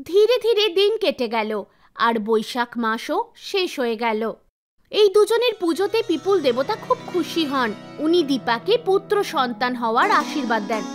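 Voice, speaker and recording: A woman narrating a scripted animated folktale as a storyteller. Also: very high-pitched. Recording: background music behind voice. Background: soft music.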